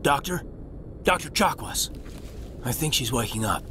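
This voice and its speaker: A man's voice: medium pitch, lively intonation, unhurried, lightly acted. An actor playing an earnest young male marine officer in a video game cutscene. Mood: concerned. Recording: clear engine noise behind.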